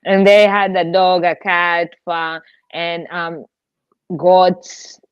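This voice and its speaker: A woman hosting a casual podcast interview in an animated, informal, playful way. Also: lowish voice, slow, smooth, dry recording, lo-fi sound. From Tanzania. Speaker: podcast host.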